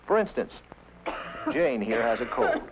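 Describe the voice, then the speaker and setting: Animated 1950s educational film narrator, man. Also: medium pitch, a little slow, rough recording. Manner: didactic, emphatic, with rhetorical questions. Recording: 1950s film soundtrack with music.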